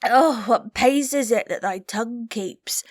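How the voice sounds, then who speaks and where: A woman's voice: a little high, measured pace, no room sound, clean studio sound, lightly acted. Woman playing a groaning, stuffed-up young noblewoman. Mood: miserable, testy. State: miserable, stuffed up, breathy sighs. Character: sharp-witted young noblewoman, poorly and groaning with a cold. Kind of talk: Shakespeare radio play.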